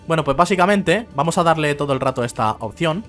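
A man's voice: a little high, animated, fast, a bit bright. A man casually commenting on a game he is playing, sometimes reading game lines aloud in voices. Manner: chatty, reads game text aloud in voices. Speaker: let's play host. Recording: faint game music behind.

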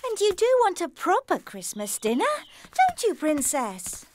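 A woman's voice: sits high, wildly swooping pitch, unhurried, noisy background, full character voice. A woman voicing a coaxing, fussing castle carer in a cartoon, with a singsong manner.

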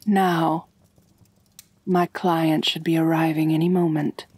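A woman playing an ancient, wealthy vampire mistress in an intimate ASMR roleplay, genteel and measured, doting yet gently dominant, with a soft breathy voice. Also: low voice, level tone, no room sound, exaggerated voice. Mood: tender, doting.

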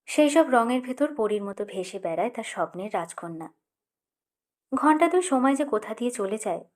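A woman reads literary fiction as an audiobook narrator. Her voice is on the high side, and she delivers the prose expressively. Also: animated, moderate pace, a little mellow.

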